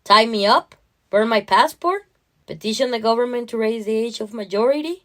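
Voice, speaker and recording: A woman's voice: a little high, a little fast, pitched above the performer's own voice, lightly acted. A defiant, nearly grown daughter in an audio short story, as a woman narrator plays her. She is sarcastic and challenging, her voice raised a little.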